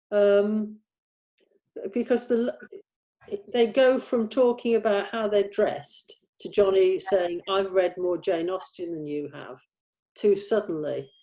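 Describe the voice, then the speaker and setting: A woman with a medium-pitched voice talks through a script as its writer. She is informal and tentative, often halting and doubling back on her words as she works out a thought. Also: warm tone. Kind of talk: informal creative discussion.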